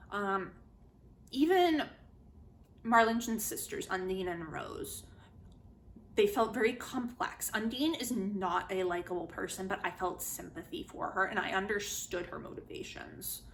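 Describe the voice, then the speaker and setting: A woman giving a casual, chatty spoken book review, retelling and commenting in an animated way. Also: medium pitch, gravelly. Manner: animated, chatty, acts out quotes informally. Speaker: book reviewer, host of a literary video series.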